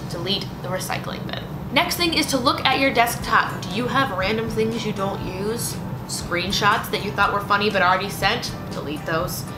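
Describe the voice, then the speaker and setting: A young woman vlogger talks straight to camera with a chatty, lively delivery and quick punchy asides. Her voice sits at a middle pitch and sounds roomy. Music plays clearly behind her. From United States. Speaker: lifestyle vlogger. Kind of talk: casual direct-to-camera advice. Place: small indoor room with bookshelf.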